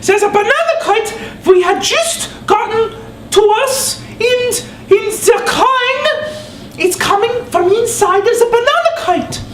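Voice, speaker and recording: A woman's voice: very high-pitched, expressive, unhurried. A woman playing a doting old immigrant mother who speaks broken English and tells a rambling story. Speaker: comedic storyteller. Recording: echoey room with background noise.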